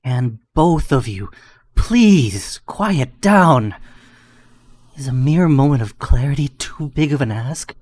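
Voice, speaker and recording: A man's voice: mid-range voice, animated, slow, darkish tone, dry recording, voice pushed up. A man voicing a tormented young villain, exasperated and furious at the voices in his head, in a strained manner. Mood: furious, anguished.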